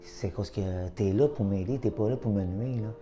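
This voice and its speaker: Dark-voiced Québécois man telling his story. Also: lowish voice, normal speed, soft background music. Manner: lively storytelling, acts out his own past thoughts. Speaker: adult literacy learner. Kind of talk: personal testimony.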